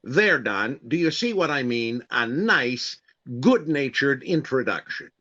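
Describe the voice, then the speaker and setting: A man's voice: medium pitch, big pitch swings, measured pace, sharp tone, heavily acted. A man playing a self-important radio comedy star in an old-time comedy style. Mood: vain, wheedling. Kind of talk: 1940s radio comedy acting. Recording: slightly dull, band-limited sound.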